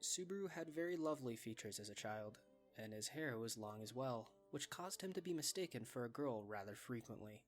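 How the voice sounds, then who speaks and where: A man narrates a scripted story in a medium-pitched voice. His lines are delivered as third-person storytelling, with light music underneath. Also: animated, fairly quick.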